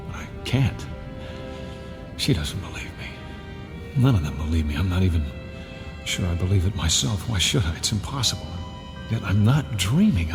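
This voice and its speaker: An actor playing an anxious, haunted married airline passenger in a radio drama, speaking in a hesitant, uneasy way. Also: male voice, lowish voice, gravelly. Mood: troubled, haunted. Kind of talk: vintage-style radio drama acting.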